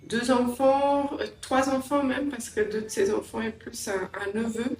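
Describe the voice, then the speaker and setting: A middle-aged woman from Angola and England giving a personal interview, reflective and hesitant, often pausing mid-sentence. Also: on the high side, flat delivery, a little mellow. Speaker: interviewee, entrepreneur and language teacher. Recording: roomy echo, distant mic, faint music.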